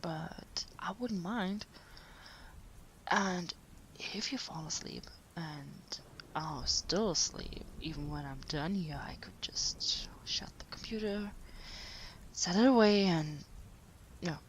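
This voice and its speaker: A woman playing a caring partner who is writing late at night, speaking in a gentle, hesitant, breathy half-whisper for an intimate sleep-aid audio roleplay. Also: on the low side, slight background noise, rough recording. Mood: gentle, reassuring. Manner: gentle, hesitant, unhurried murmuring.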